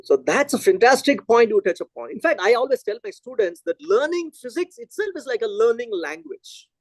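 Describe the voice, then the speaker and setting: Man, middle-aged, from India, Telugu native, high voice, dry close sound, animated, thinking aloud. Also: brisk, no room sound. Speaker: physics lecturer. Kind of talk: conversational lecture discussion.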